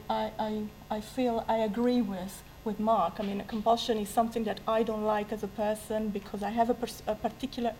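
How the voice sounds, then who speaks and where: Italian-accented woman, lively panel talk. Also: fairly high pitch, a little hiss or hum, rough recording. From Italy. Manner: lively, self-mocking, laughs often, many restarts. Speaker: university language teacher on a debate panel. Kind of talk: spontaneous panel debate answer.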